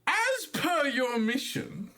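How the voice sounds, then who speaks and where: A man hosting as game master, improvising in a halting, thinking-aloud way. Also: very high-pitched, very animated, pushed and bright. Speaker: game master and podcast host. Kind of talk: improvised tabletop game narration.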